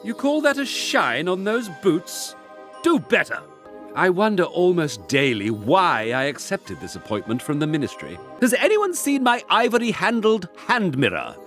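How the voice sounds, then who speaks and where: A vain, pompous wizard official, scolds impatiently in a high, swooping voice. It is theatrical game acting with faint music behind. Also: male voice, wildly swooping pitch, normal speed, a bit bright, heavily acted. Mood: haughty, exasperated.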